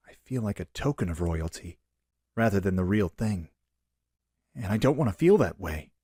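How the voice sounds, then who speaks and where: Man playing an earnest, low-voiced young prince. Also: lively intonation, normal speed, mellow, slightly rough, dry recording, clean studio sound. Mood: quiet self-doubt. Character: earnest young exiled prince, burdened and self-doubting. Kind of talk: radio-play acting in a fan dub.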